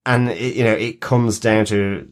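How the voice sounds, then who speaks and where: A man co-hosting a podcast, casually discussing a TV episode in halting phrases with repeated words. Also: on the low side, steady tone. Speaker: podcast co-host. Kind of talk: casual TV episode review chat.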